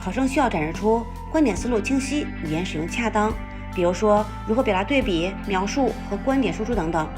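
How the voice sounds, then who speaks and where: A woman teacher calmly explaining exam scoring in a scripted voice-over lesson, with music behind her. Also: mid-range voice, fast talker.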